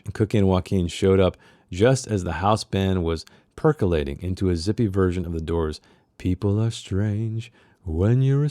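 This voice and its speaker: A man's voice: sits low, warm tone, no room sound, studio quality. A man hosting a book club, reading a novel aloud and dropping in casual, informal comments of his own. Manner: informal asides, acts out character dialogue. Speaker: host of a read-along book club.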